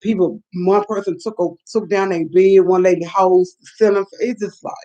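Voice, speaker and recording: Woman in her fifties, chatty and rambling, mid pitch, muffled lo-fi audio. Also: fairly even intonation, moderate pace, warm tone. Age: 50s. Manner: rambling, chatty, reacts to viewer comments. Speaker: livestream host. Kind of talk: late-night live chat with viewers. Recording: dull lo-fi sound.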